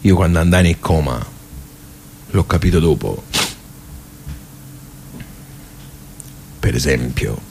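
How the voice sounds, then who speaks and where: An actor with a Tuscan accent playing a plain-spoken village social club regular in a radio monologue, rambling and hesitant, repeating himself with chatty asides. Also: male voice, low voice, vocal fry, darkish tone, slight background noise, exaggerated voice. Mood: bewildered, subdued. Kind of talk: theatrical radio monologue, colloquial storytelling.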